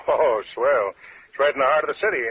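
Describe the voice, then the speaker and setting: A man's voice: medium pitch, brisk, lightly acted. Plain-spoken American police inspector male actor, informal, slangy; old radio drama, dull sound with faint noise. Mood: breezy, satisfied. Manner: informal, matter-of-fact, slangy.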